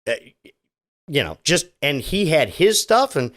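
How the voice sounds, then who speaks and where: A man's voice: fairly high pitch, very animated, unhurried, fairly bright tone. A middle-aged man hosting a solo podcast, talking casually and sarcastically, rambling with many restarts. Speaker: podcast host.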